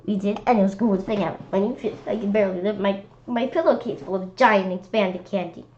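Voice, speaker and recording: A woman's voice: mid-range voice, fast, darkish tone, lo-fi sound, a little hiss or hum. A woman reading a children's story aloud expressively, often stumbling over and repeating words. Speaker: children's read-aloud host. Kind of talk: animated storybook narration.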